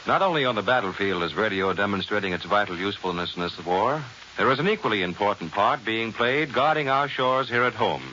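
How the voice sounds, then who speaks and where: Male American radio announcer, low and punchy, reading 1940s sponsor copy over an old hissy broadcast. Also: animated, a little fast, sharp tone, dull audio. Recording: hissy, band-limited 1940s radio recording.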